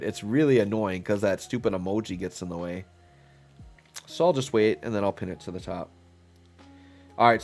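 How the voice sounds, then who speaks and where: A man with a stuffy, congested voice, chatting casually and rambling as he streams a game live. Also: mid-range voice. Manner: relaxed, rambling, thinks aloud with fillers. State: stuffy, getting over a cold. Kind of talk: casual live-stream commentary while playing. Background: faint background music.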